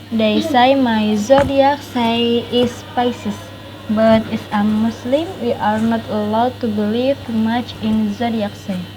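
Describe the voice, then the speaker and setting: A nineteen-year-old Indonesian woman, a university student with an Indonesian accent, reading her life story in English slowly and earnestly. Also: fairly high pitch, fairly even intonation, noisy background.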